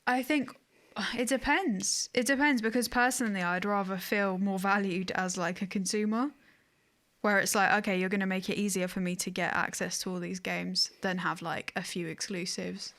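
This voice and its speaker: A woman with a mid-pitched voice chats as a podcast co-host. Her delivery is informal and conversational, with an agreeable way of talking. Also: fairly even intonation, moderate pace, fairly bright tone. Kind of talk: casual conversational podcast chat.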